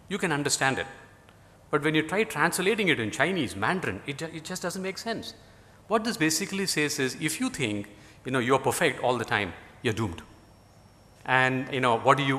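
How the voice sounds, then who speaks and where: Bright-voiced Indian man in a reverberant hall. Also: mid-range voice. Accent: Indian English. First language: Tamil. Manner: rambling, many "you know" fillers, dry humor. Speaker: guest speaker. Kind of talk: conference talk.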